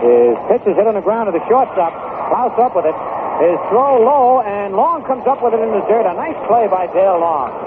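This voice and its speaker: A man's voice: high voice, narrow, tinny audio. A man calling baseball play-by-play in 1960s radio style, switching between clipped calls and conversational asides. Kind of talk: 1960s radio sports commentary. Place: outdoor ballpark broadcast booth. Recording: noisy, thin old AM radio broadcast.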